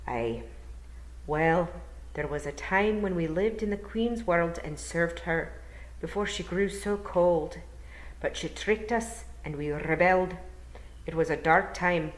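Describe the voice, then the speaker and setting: A woman's voice: on the low side, echoey room, low-quality recording. Woman playing a dignified old pixie bard. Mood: grave. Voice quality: rolled R's. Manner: clear, slow, polite, formal.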